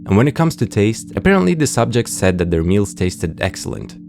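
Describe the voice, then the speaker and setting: A man hosts a scripted video essay in a low voice with noticeable creak. He is understated and wry, dropping casual asides, with faint music underneath. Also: sing-song, brisk.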